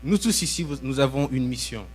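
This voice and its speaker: Young man, preacher from Belgium, mid-range voice. Short, emphatic sermon phrases with pauses, soft instrumental music underneath. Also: mid-range voice, lively intonation, slightly rough. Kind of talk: live sermon with pauses for interpretation. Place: church, speaking to a congregation. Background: musical instrument playing.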